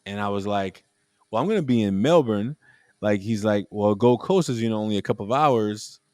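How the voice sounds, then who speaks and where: A man with a somewhat low voice hosts a relaxed conversation and tells a long story in a loose, rambling way, often restarting his sentences. The sound is close and dry, with no room around it. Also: a little fast, dry recording. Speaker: podcast host. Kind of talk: casual conversational interview.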